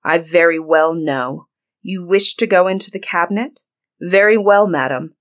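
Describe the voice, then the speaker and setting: A woman voicing a stern, murderous, commanding husband in a fairy tale, speaking deliberately and emphatically. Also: fairly low pitch, natural voice. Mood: cold menace. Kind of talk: dramatized fairy-tale storybook reading.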